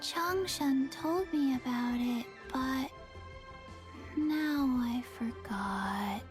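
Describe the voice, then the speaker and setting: A small, high zombie girl from a video game. She speaks extremely slowly in soft, deadpan fragments, sleepy and forgetful, with long gaps between phrases. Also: music in the background. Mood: flat, hesitant. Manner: deadpan, halting, short phrases with long pauses. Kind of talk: animated video game voice acting.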